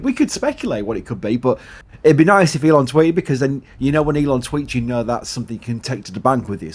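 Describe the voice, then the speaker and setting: A chatty man with a Yorkshire accent hosts a live stream, reading out viewer questions and thanking supporters in a relaxed, rambling way. His voice sits at an average pitch. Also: slightly rough. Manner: chatty, laughs often, thanks supporters, restarts a lot. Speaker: livestream host. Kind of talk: casual live commentary.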